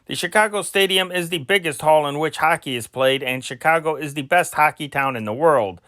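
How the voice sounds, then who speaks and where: Bright, higher-voiced man reading news. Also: a little fast, no echo, studio quality. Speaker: amateur news reader, channel host. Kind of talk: reading old newspaper sports dispatches aloud.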